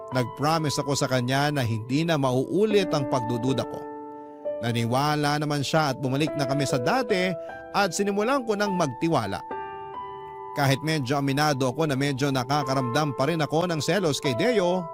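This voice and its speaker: A remorseful, jealous spouse, voiced by a man narrating a radio drama confession. The voice is on the high side and earnest, with music clearly playing behind it. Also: lightly acted. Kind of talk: scripted first-person radio drama narration.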